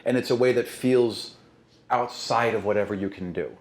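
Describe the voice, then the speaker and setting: A man's voice: lowish voice, normal speed, echoey room. A man working as game master, narrating a tabletop game in a casual, conversational way and repeating phrases for emphasis.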